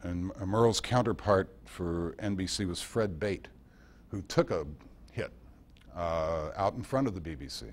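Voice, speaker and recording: A man with a fairly low voice speaks informally to a club meeting. He tells history in loose, conversational anecdotes and drops in wry asides as he goes. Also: unhurried. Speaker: guest speaker giving a history talk.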